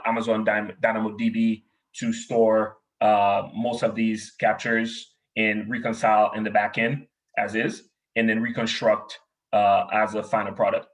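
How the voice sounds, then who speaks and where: Halting man with a Haitian accent. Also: on the low side. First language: French and Haitian Creole. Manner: halting, many "uh" fillers. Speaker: webinar speaker. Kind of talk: technical presentation and Q&A.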